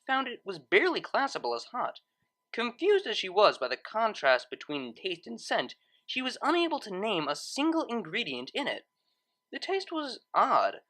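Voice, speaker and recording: Animated woman narrating a story. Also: a little high. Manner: expressive storytelling.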